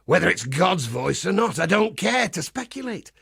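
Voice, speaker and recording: Casting: zealous game crusader, foul-mouthed and grimly cheerful, husky gravel, animated delivery, fairly high pitch. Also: male voice, normal speed, no echo, studio quality, full character voice. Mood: wry. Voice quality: gravelly, rasping. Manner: preacherly, blunt, wry, archaic turns of phrase. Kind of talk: theatrical video game character dialogue.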